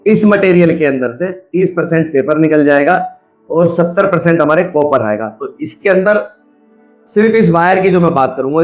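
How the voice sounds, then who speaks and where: A man's voice: on the high side, dull audio. A man talks through a practical demonstration. He explains each step in an instructional way, going back over key points. Faint music plays underneath him. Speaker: video host, scrap recycler. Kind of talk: hands-on demonstration with running explanation.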